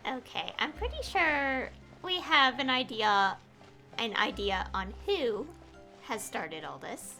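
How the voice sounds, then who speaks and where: Casting: female game streamer, slightly high lively voice, casual halting commentary. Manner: hesitant, thinking aloud. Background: game music.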